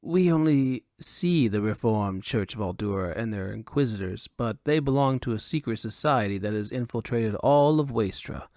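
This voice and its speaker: A man's voice: fairly low pitch, lively intonation, normal speed, few pauses, a little mellow, dry recording, studio quality. Man playing a wary, guarded jail militiaman. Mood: wary, firm. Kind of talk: audiobook character acting.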